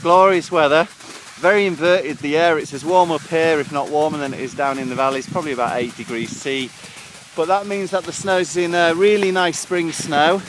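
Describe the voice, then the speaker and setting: A man's voice: a little high, steady tone, brisk. A middle-aged man giving an upbeat, casual snow report to camera on an outdoor ski slope, talking loosely with plenty of fillers. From England. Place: open snowy hillside outdoors. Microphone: action camera on the helmet or held close. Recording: wind and outdoor noise on a small camera.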